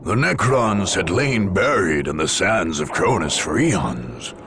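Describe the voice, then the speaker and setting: A man acting as a grave chronicler of a far-future war, narrating a video game in a solemn, measured, theatrical way. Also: deep voice, big pitch swings, background noise, exaggerated voice. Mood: grave, ominous.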